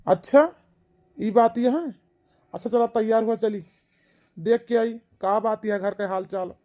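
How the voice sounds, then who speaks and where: Man acting a high-pitched, plaintive Awadhi village husband. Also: a little slow, mellow. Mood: consoling. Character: distraught village husband, anxious and pleading for his wife. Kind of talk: amateur comedy cartoon dialogue in rural dialect.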